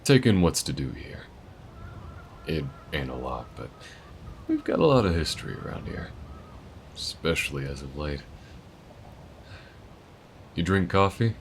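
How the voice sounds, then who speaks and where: A man's voice: very deep voice, a little hiss or hum. A man voicing a friendly, easygoing male alien who shows the listener around town in an audio roleplay, talking in a folksy, laid-back drawl.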